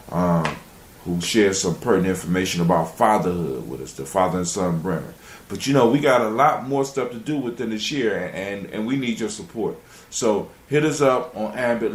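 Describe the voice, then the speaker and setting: A man hosting a radio show in a casual, rambling way, repeating phrases as he finds his words. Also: on the low side. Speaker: radio show and podcast host. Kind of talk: casual live show chat and sign-off. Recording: roomy echo, faint music.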